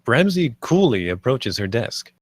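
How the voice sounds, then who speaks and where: A man's voice: mid-range voice, very animated, a little slow, no echo, studio quality. A man reading stage directions as the narrator of a script table read.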